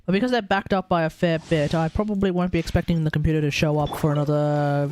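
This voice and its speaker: A man's voice: on the high side, fairly even intonation, fast talker, a little mellow. An Australian man chatting casually as a game live streamer, rambling and often rewording himself as he goes. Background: game music.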